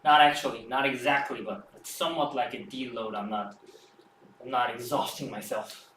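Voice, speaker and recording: A man who is not a native English speaker, rambling and thinking aloud after a home workout, with many halting restarts. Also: medium pitch, fairly even intonation, sharp tone. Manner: rambling, halting, self-reflective. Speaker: fitness streamer. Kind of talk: casual musing after a workout. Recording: roomy echo, distant mic, faint background hum.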